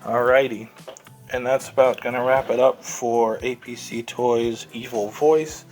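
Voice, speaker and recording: A man hosting a casual, unscripted toy review, talking in a rambling, easygoing way as he handles the item. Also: on the low side. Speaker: toy reviewer and host of the show.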